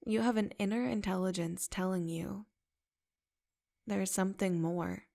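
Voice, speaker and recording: A woman leading a guided meditation in a soft, unhurried, affirming way. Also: medium pitch, vocal fry.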